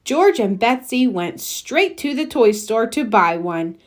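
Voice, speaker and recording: Female storybook reader for young kids, slow and deliberate, with very animated intonation. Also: a little high. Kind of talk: children's picture book read-aloud.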